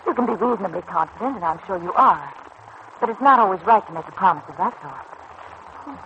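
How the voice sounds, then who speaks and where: An actress playing a kindly, sensible woman confidante in a vintage radio serial, giving measured, reassuring advice. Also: medium pitch, lively intonation, lightly acted. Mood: gently cautioning. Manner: measured, reassuring, gently advising. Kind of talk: 1940s-50s radio serial acting. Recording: dull, noisy old radio recording.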